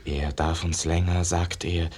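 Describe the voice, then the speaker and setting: A grave, tender disciple in a 1950s radio verse play. His deep voice is husky and sorrowful, each phrase set down deliberately. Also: little pitch movement, a little mellow.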